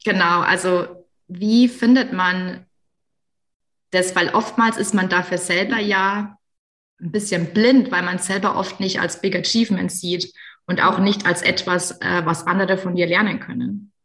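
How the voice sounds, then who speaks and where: A woman's voice: fairly low pitch, steady tone, unhurried, fairly bright tone. A woman podcast host with a slight Bavarian accent, asking questions and searching for words. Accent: Bavarian-tinged German. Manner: searches for words, laughs easily. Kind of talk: interview host. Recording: echoey room.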